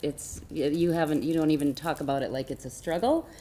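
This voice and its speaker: A woman's voice: low voice, steady tone, fairly quick, warm tone, slight background noise. An American woman giving an informal spoken introduction at a meeting, as a school staff member would. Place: meeting room at a school board session.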